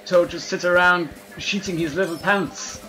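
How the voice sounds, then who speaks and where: A man doing comic gameplay commentary in a fake French accent, excitable and hammy, laughing at his own jokes. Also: high voice, moderate pace, soft background music, rough recording. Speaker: let's play gamer.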